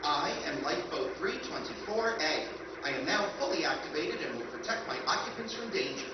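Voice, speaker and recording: A man's voice: a little high, expressive, natural voice. Man acting as an echoey, formal robot lifeboat. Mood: solemn, reassuring. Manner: formal, announcing, measured. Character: talking robot lifeboat, earnest mechanical protector. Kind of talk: stage recreation of old-time radio drama. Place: convention stage. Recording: dull, echoey stage recording, distant, noisy.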